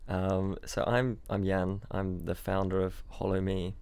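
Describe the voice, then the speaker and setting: A man's voice: low voice, monotone, a little slow, darkish tone, smooth, dry recording. A young man born in Switzerland and raised in Australia, a startup founder chatting casually as a podcast guest, often repeating words and restarting sentences. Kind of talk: casual interview chat. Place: London.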